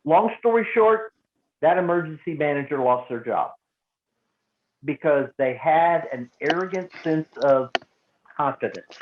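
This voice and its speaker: Emphatic male trainer in an echoey room. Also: on the high side. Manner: emphatic, deliberate, with repetition for stress. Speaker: emergency radio volunteer trainer. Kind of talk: instructional talk to a group. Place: indoor room, live training session. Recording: roomy echo, phone-like narrow band.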